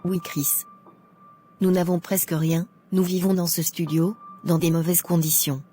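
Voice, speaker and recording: A woman playing a discontented, regretful but resolute young rich girl in an animated drama. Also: lowish voice, unhurried, soft background music, lightly acted. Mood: complaining.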